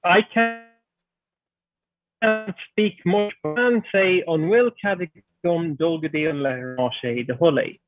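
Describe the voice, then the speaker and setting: A man's voice: sits high, takes it slowly, faint music behind. A man from Ireland with an Irish accent, chatting casually on a live stream, laughing often and stumbling over his words. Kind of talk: casual chat. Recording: dry tinny phone-like line.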